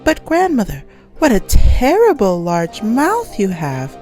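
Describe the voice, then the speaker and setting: A woman's voice: mid-range voice, huge pitch swings, slow, darkish tone, faint music behind, pitched above the performer's own voice. A woman voicing a sweet, wide-eyed little girl in a storybook, exclaiming with wonder. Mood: astonished.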